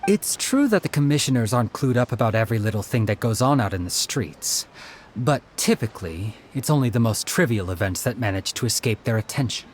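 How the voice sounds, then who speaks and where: An actor playing an affable young detective in a video game, polite and talkative with rambling asides. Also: male voice, mid-range voice, very animated, brisk, a little hiss or hum, close to an everyday voice. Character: affable male detective agency worker, eager and talkative. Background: game soundtrack music.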